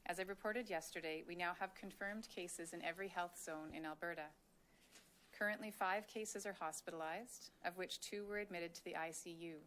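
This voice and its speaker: A woman health official delivering a formal press update in a measured, official way. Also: on the low side, steady tone, a bit bright, slight background noise. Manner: measured, official, reading statements.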